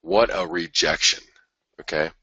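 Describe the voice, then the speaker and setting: Stuffy, raspy low-voiced man. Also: measured pace, pushed and bright, no room sound. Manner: rambling, many restarts and fillers. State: stuffy nose from a head cold. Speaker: host of a trading webinar. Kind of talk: casual market analysis talk.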